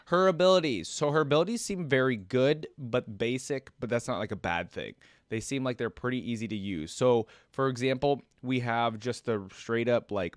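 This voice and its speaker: A man's voice: on the low side, no echo, studio quality. A man giving informal, rambling reaction commentary on a video game, thinking aloud and talking to his viewers. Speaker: host of a game reaction channel. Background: game trailer music.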